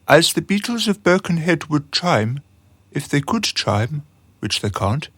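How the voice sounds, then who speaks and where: A man's voice: a little high, sing-song, measured pace, a bit bright, husky, no room sound, full character voice. A man playing a naive, eager junior assistant in a radio drama, speaking obediently. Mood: effusive. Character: naive, over-imaginative, eager junior assistant called Boy.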